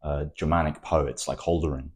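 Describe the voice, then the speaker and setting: A man from Wales whose first language is Welsh, an architect giving thoughtful, poetic interview answers with frequent pauses and restarts. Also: very deep voice, steady tone, slightly rough.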